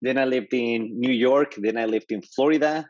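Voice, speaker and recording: A man's voice: mid-range voice, normal speed, a little mellow, no room sound, dull audio. A man from Mexico with a Mexican Spanish accent, speaking as a guest in a casual tech interview, enthusiastic and often checking in with "right?". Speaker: developer advocate guest.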